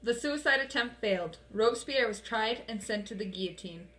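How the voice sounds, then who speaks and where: A woman's voice: fairly high pitch, expressive, normal speed, a bit bright, low-quality recording. A woman reading scripted history narration as the narrator of a puppet show.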